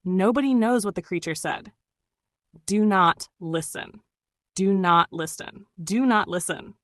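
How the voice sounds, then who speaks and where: A woman's voice: mid-range voice, unhurried, no room sound, clean studio sound. Woman issuing urgent emergency warnings. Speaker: emergency broadcaster. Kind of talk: scripted emergency alert.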